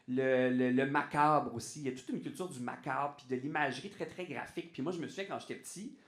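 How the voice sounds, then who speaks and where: A man with a Québécois French accent, a guest on a podcast, talking in an animated way and restarting his sentences often. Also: mid-range voice, echoey room. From Quebec. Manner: animated, restarts often, says "tu sais" a lot. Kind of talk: casual podcast interview.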